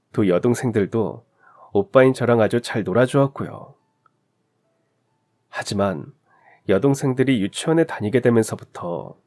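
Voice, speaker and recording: A man narrating a heartfelt life story in a scripted, expressive reading. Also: mid-range voice.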